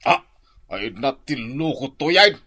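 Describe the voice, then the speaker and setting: A gruff, irritable old father in an Ilocano radio drama. His raspy voice is forceful and hard-edged, leaping wildly in pitch as he scolds. The accent is Ilocano. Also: very animated, unhurried, exaggerated voice. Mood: scolding, exasperated.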